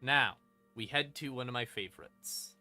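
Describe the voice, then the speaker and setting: Bright-voiced man explaining lore on stream. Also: medium pitch, moderate pace. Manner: informal, sweary, emphatic. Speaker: live streamer, host. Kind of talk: casual lore explainer on a livestream. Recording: ambient music behind the voice. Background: creepy ambient music.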